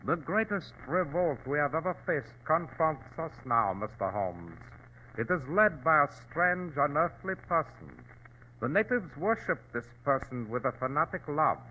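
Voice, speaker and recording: An actor playing a dignified, ruthless provincial governor from China, courtly and formal, in 1940s radio drama style. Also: male voice, on the high side, very animated, unhurried, a little hiss or hum. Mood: disdainful, grave. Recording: thin, narrow-band old radio recording.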